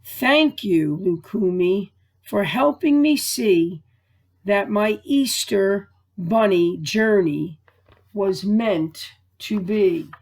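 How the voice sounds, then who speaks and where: A woman voicing a kindly, wise male storybook Easter Bunny with a gentle, measured, moralizing manner. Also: mid-range voice, animated, full character voice. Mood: grateful, gentle.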